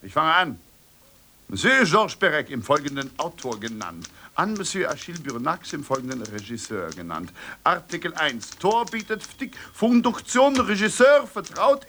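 An actor playing a bossy, short-tempered French radio director in German radio comedy, officious and pedantic, barking orders. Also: male voice, high voice, big pitch swings, normal speed, pushed and bright, a little hiss or hum, full character voice. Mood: officious, dictating. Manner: commanding, pedantic, barks orders.

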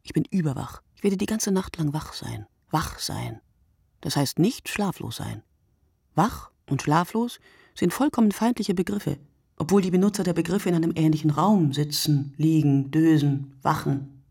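A performer reading a literary radio play monologue in short, deliberate, reflective phrases. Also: medium pitch, vocal fry, darkish tone, husky, dry recording, clean studio sound.

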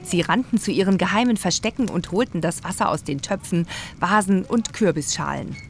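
A woman's voice: lowish voice, brisk, a little hiss or hum. A woman narrating a children's radio play in a scripted storytelling style.

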